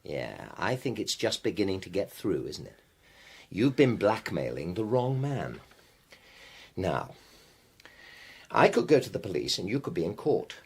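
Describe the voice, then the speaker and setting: A wronged husband with a husky, breathy edge and a volatile, swinging delivery. He is insistent and intense, in a naturalistic radio drama. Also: lowish voice, exaggerated voice. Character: wronged husband, angry, menacing, out for retribution.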